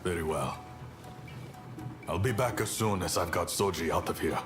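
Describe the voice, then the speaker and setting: Man playing a very deep, commanding samurai captain. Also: normal speed, over music, natural voice. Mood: grim, measured. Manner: terse, commanding. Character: stern, commanding male samurai captain, resolute and tough. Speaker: voice actor in a video game. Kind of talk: dramatic game cutscene acting.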